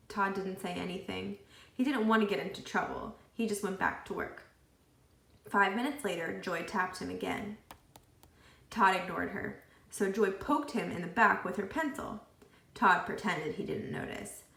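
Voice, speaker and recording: A woman reading a children's book aloud expressively, with deliberate phrasing and acted dialogue. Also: mid-range voice, vocal fry, a bit bright, echoey room. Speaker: read-aloud storyteller.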